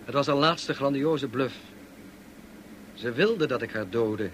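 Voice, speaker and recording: An actor playing a grave, tormented gentleman husband in a radio drama, intense and clipped. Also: mid-range voice, slow pace, slight background noise. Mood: bitter, certain.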